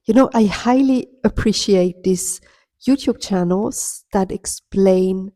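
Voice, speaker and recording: A woman speaking as a spiritual healer, giving an earnest, soft-spoken coaching monologue straight to her listener. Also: medium pitch, slow, dry recording. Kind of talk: reflective motivational monologue.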